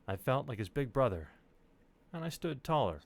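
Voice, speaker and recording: A man's voice: mid-range voice, very animated, moderate pace, creaky. A man reading stories aloud on a stream, with casual asides. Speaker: reader on a storytelling stream.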